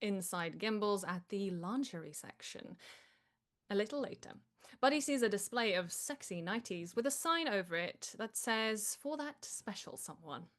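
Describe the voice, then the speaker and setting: A woman's voice: mid-range voice, expressive, moderate pace. A woman reading stage directions as the narrator of a live comedy table read.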